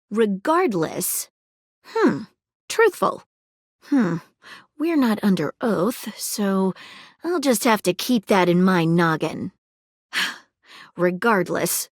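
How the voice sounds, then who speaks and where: Eager sleuthing magnifying glass, bouncy, highish voice, dry studio read. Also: female voice, no room sound. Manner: theatrical noir detective patter, suspicious and playful. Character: eager female detective magnifying glass, noir-style sleuth. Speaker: voice actor. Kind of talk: video game character voice lines. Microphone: close studio mic.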